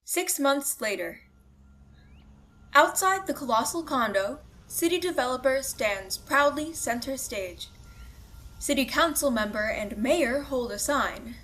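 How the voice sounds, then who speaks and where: A woman's voice: high voice, animated, measured pace, fairly bright tone. A woman narrating scripted scene headings and stage directions for a radio play. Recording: faint background noise.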